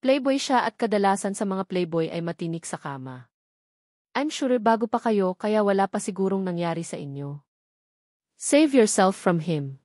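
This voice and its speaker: A woman's voice: mid-range voice, animated, normal speed, darkish tone, no room sound, close to an everyday voice. Young Filipina love rival, read by a woman, audiobook romance, condescending under polite concern, switches into English, dry sound. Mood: falsely concerned. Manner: code-switches between Tagalog and English.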